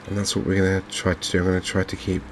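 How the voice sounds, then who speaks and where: Deep quiet english guy doing asmr game commentary, super monotone, gentle, bit of hiss n game ambience behind. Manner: gentle, unhurried, hushed. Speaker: gaming video host. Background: fishing game ambience.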